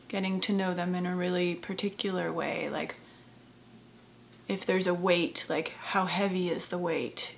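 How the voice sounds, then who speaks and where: Creaky-voiced woman guiding a meditation. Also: on the low side, slight background noise. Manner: gentle, soft-spoken, unhurried with long pauses. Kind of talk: guided meditation.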